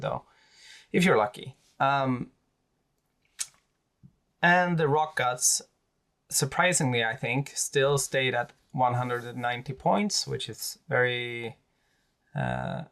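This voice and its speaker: A man with a Swedish accent, a native Swedish speaker, talking casually through tabletop army lists with many pauses and fillers. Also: medium pitch, vocal fry, fairly bright tone. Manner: hesitant, many fillers and restarts. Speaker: tabletop wargaming creator.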